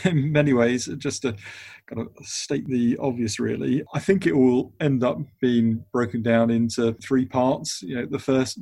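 A man giving measured, conversational answers as an expert interview guest. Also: mid-range voice, mellow, slightly rough, dry recording. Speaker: interview guest, investment funds lawyer.

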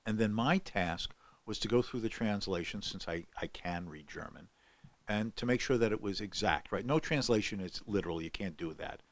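A man's voice: fairly low pitch, normal speed, no room sound. An older man telling a long story on a podcast, with many fillers and restarts. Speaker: guest scholar. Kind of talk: podcast conversation.

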